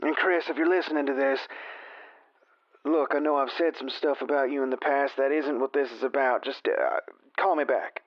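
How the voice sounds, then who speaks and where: An actor playing an awkward, conciliatory rodeo official leaving a halting, businesslike message through an answering machine filter. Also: male voice, mid-range voice, steady tone, no echo, lo-fi sound. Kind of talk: naturalistic radio drama acting.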